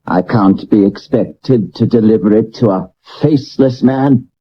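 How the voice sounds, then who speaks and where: A man acting a mysterious, menacing stranger in a 1970s radio drama, deliberate and evasive. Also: lowish voice, breathy edge. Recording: dull lo-fi vintage radio recording.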